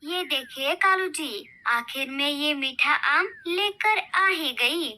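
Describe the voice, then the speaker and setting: A nosy female cartoon bird, played by a woman, wheedles politely and sweetly. Her voice is high and extremely bright, with an unhurried delivery. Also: lively intonation, heavily acted. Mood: pleased. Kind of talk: children's cartoon voice acting. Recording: noticeable background noise.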